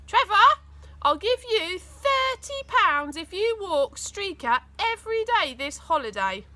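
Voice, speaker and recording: A woman's voice: extremely high pitch, big pitch swings, slow pace, pushed and bright, pitched above the performer's own voice, exaggerated voice. A woman reading a storybook and voicing a scheming, bossy mum, coaxing and emphatic. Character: scheming, bossy mum bargaining with her son.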